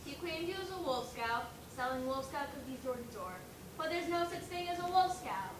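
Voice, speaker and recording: A woman's voice: high voice, lively intonation, normal speed. A woman playing a distrustful, matter-of-fact pig juror in a stage play. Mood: suspicious. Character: distrustful pig juror, firm against the wolf. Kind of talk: school stage play acting. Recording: roomy, distant, noisy stage recording.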